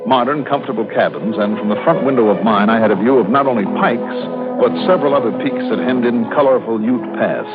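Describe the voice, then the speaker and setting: An actor playing a cool, wry American insurance investigator, narrating and talking in a 1950s radio drama. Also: male voice, medium pitch, fairly quick, loud music behind the voice, lightly acted. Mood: brisk, wry. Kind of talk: 1950s radio drama, hard-boiled first-person narration. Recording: narrow-band old radio recording.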